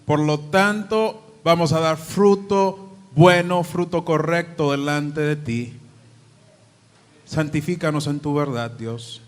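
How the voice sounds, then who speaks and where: A Dominican-accented man preaching in a church, his fairly high voice carried on a long hall reverb. He moves between fervent prayer, scripture reading and easy chat with the congregation. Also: slow. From Dominican Republic. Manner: prays and reads scripture aloud, then talks chattily to the congregation. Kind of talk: sermon.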